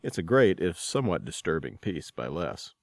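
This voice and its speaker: A man with a fairly low voice presents a podcast from a script. The sound is dry, with little room around him. He delivers it as a host introducing segments and news. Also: sing-song, normal speed, vocal fry, no room sound.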